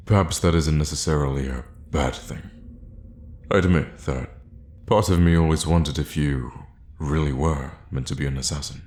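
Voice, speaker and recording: A man playing a scheming, condescending royal guard loyal to his queen, in an intimate, theatrical fantasy ASMR roleplay with measured, pointed pauses. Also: a bass voice, a little mellow, reverberant. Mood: resigned, defiant.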